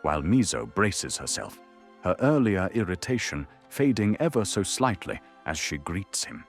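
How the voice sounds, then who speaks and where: A man's voice: sits low, big pitch swings, normal speed, faint music behind. A man narrating an audio drama in a dramatic, scripted storytelling style.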